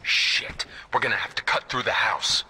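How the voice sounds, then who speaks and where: A man's voice: fairly low pitch, normal speed, sharp tone. A man playing a commanding soldier in a video game, giving terse, clipped orders as squad leader. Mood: urgent. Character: tense commanding male soldier leading a small squad. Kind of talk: video game military voice acting. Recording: game battle noise behind voice.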